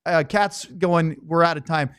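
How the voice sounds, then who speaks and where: Clear, higher-voiced American male podcast host. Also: unhurried, fairly bright tone, no room sound, clean studio sound. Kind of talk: casual sports podcast chat.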